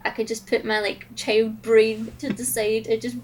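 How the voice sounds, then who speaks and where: A woman's voice: a little high, little pitch movement, normal speed, slight background noise. Scottish woman, distant mic. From Scotland. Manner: rambling, many fillers. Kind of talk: casual chat. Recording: distant mic, faint room sound.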